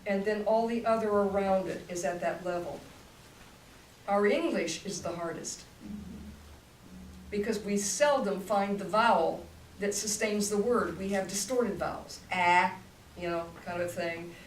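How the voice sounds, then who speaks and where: A woman's voice: mid-range voice, unhurried. An older woman, a voice teacher, explaining technique in a demonstrative coaching way, heard from a distance in a room. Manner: demonstrative, coaching, talks in bursts. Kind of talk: voice masterclass talk. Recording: roomy, distant, faint hum.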